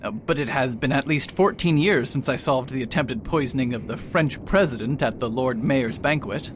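A man's voice: medium pitch, moderate pace, lightly acted. A man playing a proud, faded detective who talks pompously and hesitates with filler sounds, in an amateur radio drama. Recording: noisy recording with steady hiss.

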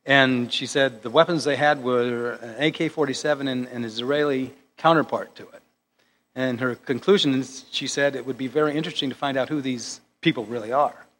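A man's voice: mid-range voice, brisk. Male speaker at a public talk, recounting reports step by step, long hall reverb. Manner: animated, retelling news reports step by step. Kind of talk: lecture to an audience.

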